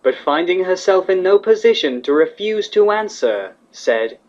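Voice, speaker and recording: A woman reading fan fiction as the narrator, in an expressive scripted storytelling style. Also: lowish voice, moderate pace. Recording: slightly dull, muffled audio.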